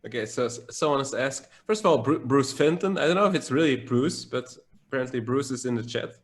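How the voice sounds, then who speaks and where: A man's voice: medium pitch, brisk. A man from Belgium with a Belgian accent, co-hosting a casual live talk show and reading out questions from the chat. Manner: relaxed, reads chat questions aloud. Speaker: podcast co-host.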